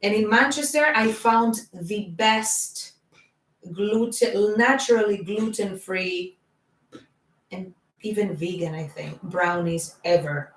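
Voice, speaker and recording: A woman's voice: mid-range voice, slow pace. A woman with a foreign accent chatting casually on a livestream, rambling with lots of fillers. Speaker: band singer and co-host. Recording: roomy sound from a distant mic.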